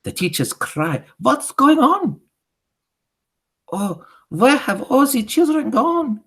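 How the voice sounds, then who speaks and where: A woman voicing a gloating, disgusting old Grand High Witch in a storybook, chanting theatrically in broken grammar. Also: huge pitch swings, moderate pace, gravelly, fairly bright tone, heavily acted. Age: older. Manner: theatrical, chanting, ungrammatical speech. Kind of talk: theatrical storybook character reading.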